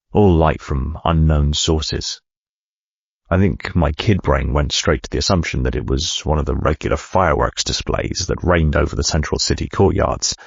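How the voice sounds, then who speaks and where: An actor playing a male chief executive reading his own autobiography in a measured, reflective, gravelly voice. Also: rumbling low, no echo, muffled recording, exaggerated voice. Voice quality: gravelly, husky. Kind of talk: scripted audiobook narration.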